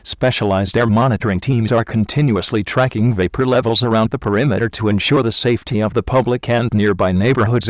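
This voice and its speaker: A man's voice: fairly low pitch, no echo. A man with a synthetic-sounding, formal and impersonal delivery, reading a scripted official emergency broadcast announcement. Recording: dull lo-fi alert audio.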